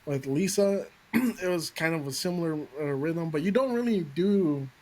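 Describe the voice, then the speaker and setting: A male podcast host with a somewhat high speaking pitch. He chats casually with his guest, hyped about the music and dropping swear words freely. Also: lively intonation, normal speed, darkish tone. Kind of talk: casual interview chat.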